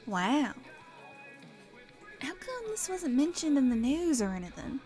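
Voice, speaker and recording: Woman playing a slow-speaking, direct young woman. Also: high voice, sing-song, mellow, faint music behind. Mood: curious. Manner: probing, direct. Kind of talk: audio drama acting.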